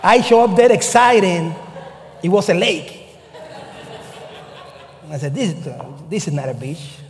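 A man from Cuba with a Cuban Spanish accent, a pastor delivering a sermon with deliberate, emphatic phrasing. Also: high-pitched, lively intonation, in a large hall, slight background noise. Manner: deliberate, emphatic preaching with slow drawn-out phrases.

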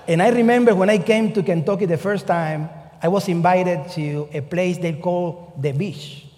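A man from Cuba with a Cuban Spanish accent, a pastor delivering a sermon with deliberate, emphatic phrasing. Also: high-pitched, a little mellow, in a large hall, a little hiss or hum. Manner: deliberate, emphatic preaching with slow drawn-out phrases.

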